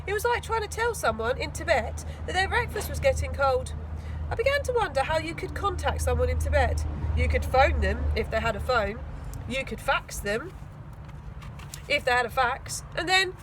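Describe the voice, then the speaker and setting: A woman reading a children's story aloud in an expressive, emphatic storytelling manner. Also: high-pitched, moderate pace, background noise. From England. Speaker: school teaching assistant reading aloud to children. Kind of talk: storybook read-aloud narration.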